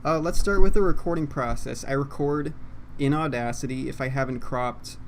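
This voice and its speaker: A man's voice: medium pitch, normal speed. Rambling young man on a condenser mic. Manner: casual, self-deprecating, meandering with fillers. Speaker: YouTuber. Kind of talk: unscripted rambling monologue. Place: home room, seated at computer. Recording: faint background noise.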